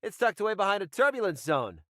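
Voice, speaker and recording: A man voicing a greedy, gleeful young pirate treasure hunter in an anime video game, smug and excitable. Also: sits high, fast, no room sound, studio quality. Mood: eager.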